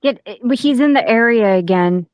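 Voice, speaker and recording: A woman's voice: on the high side, expressive, moderate pace, smooth, no room sound, clean studio sound. A woman chatting casually on a video call, playful and teasing. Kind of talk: casual group chat on a live stream.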